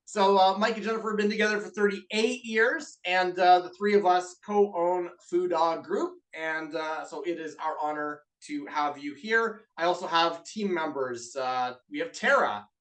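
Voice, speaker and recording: A man's voice: high-pitched, normal speed, few pauses, a bit bright, far from the mic, rough recording. A man with a Canadian accent hosting a live online masterclass in an upbeat, chatty way, with lots of filler uhs. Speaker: webinar host and business co-owner. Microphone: video call mic.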